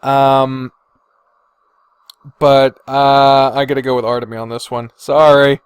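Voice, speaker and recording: A man's voice: medium pitch, monotone, slow pace, clear, resonant tone, dry recording. A man hosting a podcast in casual unscripted chat, hesitant as he weighs his choices and given to swearing. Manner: hesitant deliberating, sighing, frequent swearing. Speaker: podcast host. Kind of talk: casual unscripted chat, ranking fictional characters.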